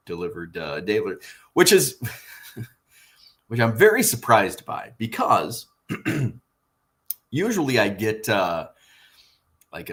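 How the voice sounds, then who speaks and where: Low-ish voiced guy, a bit husky from just waking up, rambling casual vlog chat with lots of uhs. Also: a bit bright. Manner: rambling, filler-heavy, conversational. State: slightly husky morning voice. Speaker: card collecting vlogger.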